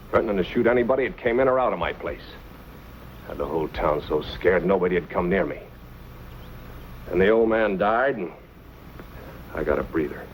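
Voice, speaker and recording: A scheming frontier saloon keeper with a low voice. He grumbles with folksy resentment in the manner of old western drama, over faint hiss. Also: rough recording. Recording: hissy old radio-era recording.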